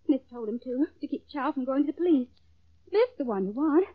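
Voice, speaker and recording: Cornered young accomplice, high voice, defensive, dry tinny old radio sound. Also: female voice, animated, brisk, no room sound. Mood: rattled, pleading. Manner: sharp, defensive. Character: defiant, cornered young female accomplice to murder. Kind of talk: 1940s radio drama acting.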